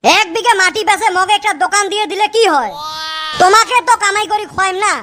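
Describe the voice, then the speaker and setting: A lazy young cartoon son with a squeaky, extremely high voice. He whines and talks back in a North Bengal accent, in comic dialogue. The track is clearly noisy. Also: fast. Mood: whiny, indignant.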